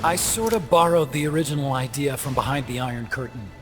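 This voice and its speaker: An actor playing an earnest, talkative male weapons scientist in a video game, explaining things in an emphatic, measured way. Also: medium pitch, lightly acted. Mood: intent, proud. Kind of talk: video game cutscene acting. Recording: dramatic music behind the voice.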